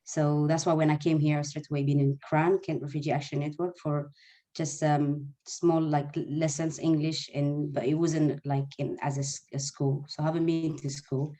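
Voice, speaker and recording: A man's voice: a little high, level tone, moderate pace, warm tone. A young man from Eritrea who grew up in Sudan, a native Arabic speaker, answering interview questions earnestly in a webinar, with small grammar slips. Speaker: guest, nursing student and former refugee.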